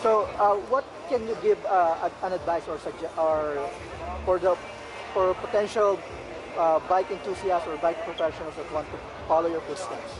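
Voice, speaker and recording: A male interviewer asking questions in a halting way, often restarting and repeating the answers he hears, at a busy indoor expo. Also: sits high. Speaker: interviewer, blog reporter. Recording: noisy crowd in a large hall.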